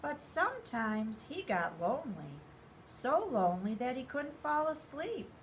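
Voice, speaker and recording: A woman's voice: high-pitched, sing-song, a little slow, slight background noise, low-quality recording. A woman reading a children's picture book aloud expressively, speaking directly to young listeners. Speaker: story reader.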